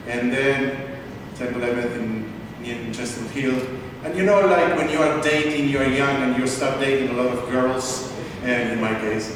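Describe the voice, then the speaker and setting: Cantor in an echoey hall. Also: male voice, mid-range voice, fairly even intonation. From Argentina. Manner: heartfelt, grateful, slightly halting. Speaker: cantor accepting an award. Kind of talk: acceptance speech. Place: large synagogue hall. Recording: echoey hall from far off, noisy.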